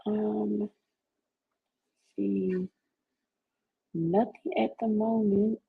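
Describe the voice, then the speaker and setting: A woman's voice: mid-range voice, level tone, extremely slow, gravelly, breathy edge, phone-quality sound. A woman hosting a podcast, talking in a chatty, frank way with laughs and filler words. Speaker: podcast host. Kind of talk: casual podcast chat.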